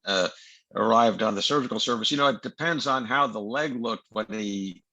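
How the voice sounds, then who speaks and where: An elderly man with a low, forceful voice speaks haltingly, repeating words as he thinks. He sounds like a senior surgeon in a case discussion, heard through slightly dull call audio. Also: no room sound. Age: late 70s. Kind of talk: informal medical case discussion.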